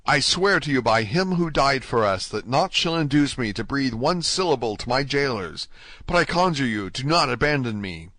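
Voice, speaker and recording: A desperate young prisoner begs with fervent urgency, as a man reads him aloud. His pleas rise a little higher than the narration around him, in a dry, close voice. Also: lively intonation, normal speed, fairly bright tone, dry recording, lightly acted. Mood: pleading. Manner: fervent, imploring. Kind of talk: audiobook reading.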